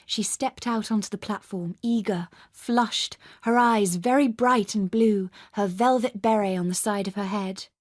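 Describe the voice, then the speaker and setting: A woman narrates literary fiction for radio. Her medium-pitched voice is expressive and intimate, recorded dry. The delivery is scripted, dramatic storytelling. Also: unhurried, few pauses, dry recording. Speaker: short story reader.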